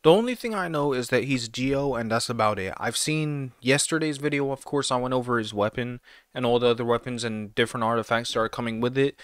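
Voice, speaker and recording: A man's voice: mid-range voice, fairly quick, dry recording. A young man giving casual, slangy, exclamatory reaction commentary as host of a video, close to the mic. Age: young adult. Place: small home room. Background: game trailer music.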